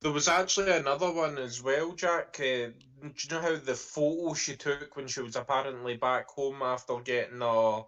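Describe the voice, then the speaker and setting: A man's voice: medium pitch, fairly even intonation, normal speed, few pauses, fairly bright tone, dull audio. A man giving blunt opinions in a casual discussion, using slang. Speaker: discussion panelist, security industry worker.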